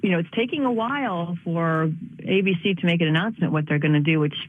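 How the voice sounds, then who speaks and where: A woman's voice: fairly low pitch, fast talker. A woman giving a radio interview by phone, earnest and reflective, often restarting her phrases. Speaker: guest, speaker and author. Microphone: telephone. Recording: tinny phone line.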